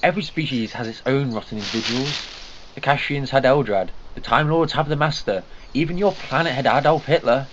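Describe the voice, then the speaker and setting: A man's voice: mid-range voice, fairly quick, fairly bright tone, a little hiss or hum, lightly acted. A man playing a wry, eccentric time traveller who explains ancient threats. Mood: explaining. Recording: lo-fi fan audio drama.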